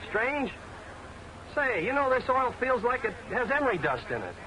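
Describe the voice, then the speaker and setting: A puzzled, forthright bus driver in a vintage serial. His voice is very high for a man and swings widely. The soundtrack is echoey and noisy. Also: sing-song, moderate pace. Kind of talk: 1940s film serial acting.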